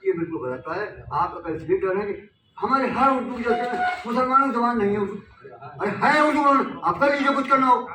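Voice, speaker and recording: A man's voice: high-pitched, pushed and bright, recorded from a distance, slight background noise. Impassioned man speaking in an echoey hall. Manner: rhetorical, repeatedly asking the audience "हैं?". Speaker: newspaper editor speaking at a seminar. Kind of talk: impassioned seminar speech. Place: seminar hall. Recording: echoey hall recorded from afar.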